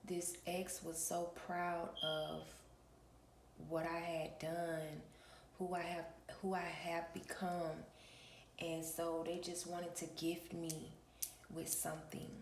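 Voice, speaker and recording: A woman hosting her own channel, talking in a relaxed early-morning vlog with a raspy voice and a preachy manner, often clearing her throat. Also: on the low side, slow, reverberant, slight background noise. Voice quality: gravelly. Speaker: spiritual reader and channel host.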